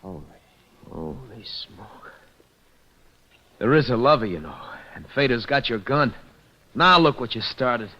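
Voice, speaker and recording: An actor playing a nervous, self-protective American press agent in an old-time radio drama. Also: male voice, mid-range voice, expressive, a little slow, pauses often. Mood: shaken. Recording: dull old radio recording.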